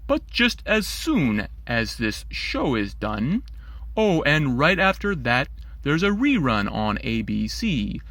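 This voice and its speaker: A man voicing a plump, sluggish boy turning into a vegetable, slow and resigned like a ninety-year-old, in a children's storybook. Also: mid-range voice, big pitch swings, fairly bright tone, no room sound, full character voice. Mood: dawdling.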